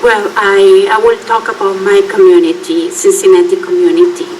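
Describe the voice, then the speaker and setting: A woman's voice: medium pitch, little pitch movement, darkish tone. Earnest woman on a panel. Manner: earnest, halting, with restarts. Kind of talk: panel talk. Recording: distant mic, faint room noise.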